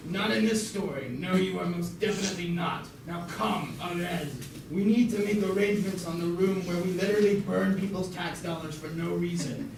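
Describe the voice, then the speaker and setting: A high-voiced, pompous dark emperor played by a man in a parody puppet show. He is greedy and muddles his grand words. The recording is very echoey, made far from the mic with loud noise. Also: moderate pace, creaky, few pauses. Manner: pompous, tongue-tripping. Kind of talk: amateur comic puppet-show acting.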